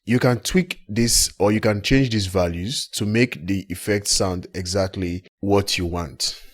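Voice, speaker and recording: Low, husky male tutorial presenter. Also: unhurried. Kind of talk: step-by-step software tutorial narration.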